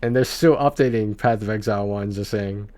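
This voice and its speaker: A man's voice: fairly low pitch, fairly quick, mellow. A man livestreaming about video games in a casual, rambling, conversational way, speaking to his viewers on a close mic.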